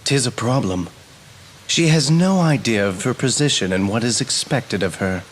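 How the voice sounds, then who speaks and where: A man's voice: mid-range voice, expressive, normal speed, slight background noise, lightly acted. A man playing a courtly, dutiful fairy royal advisor in a fantasy game, formal and composed. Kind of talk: fantasy video game voice acting.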